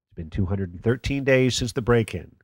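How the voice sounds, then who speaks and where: A man's voice: medium pitch, expressive, a little fast, dry recording, close to an everyday voice. A man playing a jaded, sarcastic call-in radio host in a radio play. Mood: weary, aggrieved. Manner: dry, sarcastic. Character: sardonic, jaded male conspiracy talk radio host. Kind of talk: radio play acting as talk radio.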